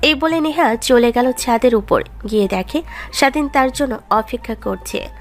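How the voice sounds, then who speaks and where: A woman's voice: fairly high pitch, normal speed, soft background music. A woman narrating a scripted romantic story as its storyteller.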